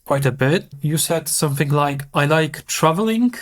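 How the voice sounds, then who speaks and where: A man's voice: on the high side, expressive, moderate pace, fairly bright tone. Tentative man searching for words. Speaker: guest. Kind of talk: casual conversation.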